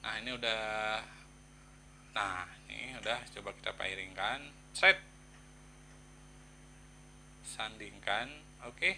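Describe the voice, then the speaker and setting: Bright-voiced casual man, noisy recording. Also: lowish voice, vocal fry. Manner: informal, halting, slangy, thinking aloud. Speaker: product reviewer, vlogger. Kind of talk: casual unboxing review chat to viewers.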